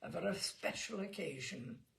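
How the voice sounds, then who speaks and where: A woman's voice: fairly low pitch, animated, moderate pace, creaky. A woman voicing a small young male reporter from a storybook, with a Glasgow accent, chatty, apologetic and persistent. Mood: eager, polite. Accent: Glasgow Scottish. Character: small young male newspaper reporter, eager, agreeable, pushy.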